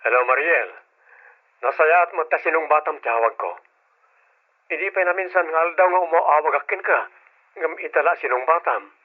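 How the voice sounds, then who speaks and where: A man's voice: a little high, normal speed, long pauses, close to an everyday voice. A man playing an earnest, puzzled suitor, plaintive and questioning, in an old Ilocano radio drama. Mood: puzzled, pleading. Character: earnest, puzzled male suitor pleading for attention. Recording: tinny, muddy old radio phone line.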